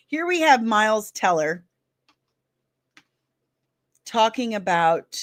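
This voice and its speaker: A woman's voice: mid-range voice, very animated, very slow, dry recording. A woman from St. Louis with a Midwestern accent, hosting a casual pop-culture commentary show, laughing and sarcastic as she reacts to clips. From St. Louis, Missouri. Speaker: host of a daily pop-culture news show.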